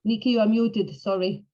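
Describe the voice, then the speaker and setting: Mellow woman host on a muffled call. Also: mid-range voice, steady tone, unhurried. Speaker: session host. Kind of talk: introducing speakers at an online event. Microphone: video call. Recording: dull, muffled online call audio.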